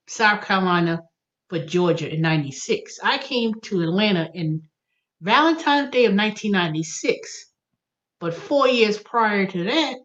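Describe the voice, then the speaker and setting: A woman's voice: medium pitch, fairly bright tone, muffled recording. An American woman in her early fifties chatting casually on a livestream, thinking aloud, repeating and correcting herself as she goes. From United States. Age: early 50s. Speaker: livestream host. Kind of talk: casual rambling livestream chat.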